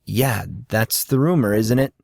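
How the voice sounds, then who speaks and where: A man's voice: lowish voice, unhurried, vocal fry, darkish tone, no echo. A man voicing a scarred teenage boy who speaks in a dry, sarcastic way.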